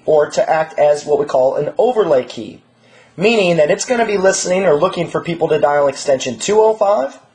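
Man, 30s, fairly high voice, informal product demo presenter. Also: a little mellow. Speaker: presenter, small business product manager. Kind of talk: informal product demonstration tutorial.

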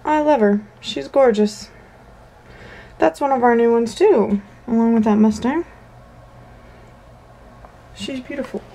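A woman's voice: a little high, a little slow, pauses often, mellow, low-quality recording. Chatty young girl touring her toy barn. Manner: chatty, gushing, rambling with fillers. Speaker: vlogger. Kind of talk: casual toy collection tour. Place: bedroom at home. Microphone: handheld camera mic.

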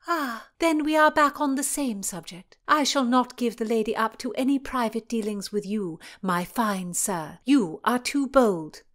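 A woman doing an imperious princess, high and grand. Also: lively intonation, a little slow, few pauses. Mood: indignant. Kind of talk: audiobook character voice.

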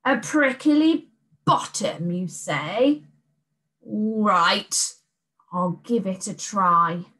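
Woman doing a slow growly hungry beast. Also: medium pitch, expressive, a bit bright. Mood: musing. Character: hungry grumpy male soup-cooking beast.

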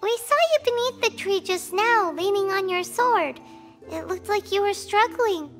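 A woman's voice: expressive, a little slow, loud music behind the voice. A woman voicing a tiny, childlike floating fairy companion in an anime video game, perky and inquisitive. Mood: concerned. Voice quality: squeaky, childlike. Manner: perky, inquisitive, refers to herself by name.

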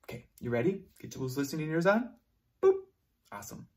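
A young man teaching preschoolers online at a whiteboard, talking slowly and encouragingly in a sing-song way, moving toward and away from the camera. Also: on the high side, very animated, a little mellow. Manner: slow, sing-song, encouraging teacher talk to small children. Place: classroom at a whiteboard. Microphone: camera close up, moving away to write.

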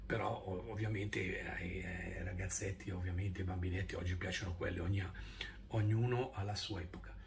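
Older guy vlogging on his phone, low voice, noisy audio, rambling. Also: steady tone, normal speed, creaky. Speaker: video blogger. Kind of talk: casual rambling vlog. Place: indoor room. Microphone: phone at arm's length. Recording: noisy phone video played back.

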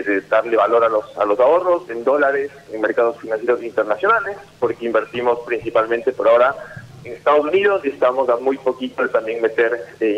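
Young Ecuadorian man, radio guest, steady explainer; slightly muffled studio audio. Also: mid-range voice, slight background noise. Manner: measured, explanatory, repeats small words. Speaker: startup founder guest. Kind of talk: explanatory interview answers. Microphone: studio boom mic.

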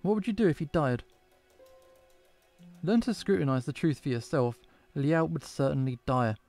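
A sharp-tongued companion lashes out with sarcastic scolding, as a man voices him. His voice sits a bit high with a dark, mellow tone. Soft music runs faintly beneath. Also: expressive, normal speed.

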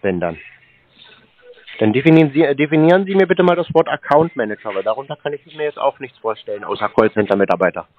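A man's voice: medium pitch, big pitch swings, fast, slight background noise. Guy trolling scam callers, sarcastic, kinda muffled phone sound. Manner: sarcastic, mocking. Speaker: prank caller answering scam calls. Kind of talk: casual phone chat.

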